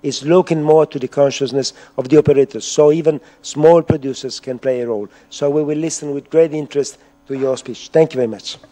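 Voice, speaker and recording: A man chairing a conference session, introducing the speaker in a measured, courteous way. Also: on the high side, fairly even intonation, dark tone, slight background noise. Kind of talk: formal introduction. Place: lecture hall.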